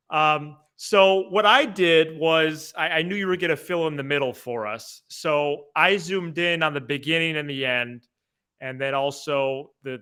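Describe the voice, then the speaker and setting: Bright, crisp-voiced male sports podcast host. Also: medium pitch. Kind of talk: casual sports commentary.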